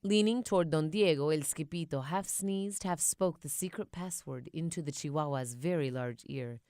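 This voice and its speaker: A woman narrating a children's audiobook in an expressive storybook style. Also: low voice, moderate pace, clean tone, dry recording, studio quality.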